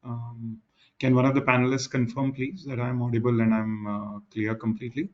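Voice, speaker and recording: A man with an Indian English accent, a professor moderating a webinar, a little croaky, polite and discursive with many fillers. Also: mid-range voice, flat delivery, moderate pace. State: slightly croaky after long teaching. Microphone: video call. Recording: dull lo-fi video call audio.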